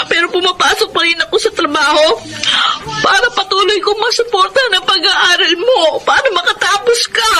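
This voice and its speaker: An actress playing a devoted, wounded fiancée pleading tearfully in a Filipino radio melodrama. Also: female voice, very high-pitched, low-quality recording. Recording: loud music behind the voice.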